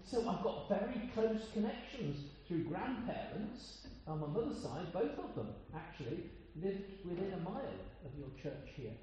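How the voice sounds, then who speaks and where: An older man from with a loud voice, preaching an informal sermon in a chatty, meandering way with frequent pauses. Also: high voice, very animated, slight background noise. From Coventry, England. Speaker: lay preacher. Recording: echoey hall sound, dull lo-fi.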